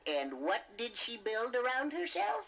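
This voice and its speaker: A man voicing a clever, excitable little inventor creature with a husky voice in a children's storybook recording. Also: very high-pitched, measured pace, dull audio, a little hiss or hum, exaggerated voice. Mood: eager, clever. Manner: quizzing, eager. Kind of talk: children's storybook cassette acting.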